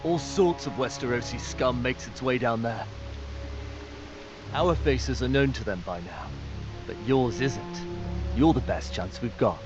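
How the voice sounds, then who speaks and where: A resolute military commander from a fantasy video game, voiced by a man. He sounds grave and businesslike in a medium-pitched voice. Clear music runs behind the dull audio. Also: a little slow, long pauses, close to an everyday voice. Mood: persuasive. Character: resolute, pragmatic fantasy war commander planning an assault. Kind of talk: video game character acting.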